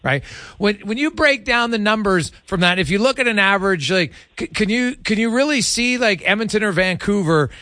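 A male sports radio host with a fairly high, bright and forceful voice. He interviews conversationally, breaking up his sentences as he works through numbers. The sound is close and dry. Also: animated, brisk, no room sound.

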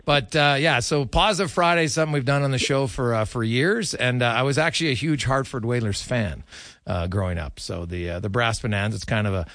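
Male sports host, casual and conversational, crisp bright tone in a very dry recording. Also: medium pitch, brisk, no echo. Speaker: sports talk show host. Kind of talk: casual sports interview chat.

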